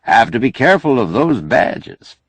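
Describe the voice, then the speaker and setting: A man voicing a folksy, pushy, glad-handing senator who preaches, loud and emphatic, for an audiobook. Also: mid-range voice, very animated, normal speed, no room sound. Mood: brisk, folksy. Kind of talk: audiobook character acting.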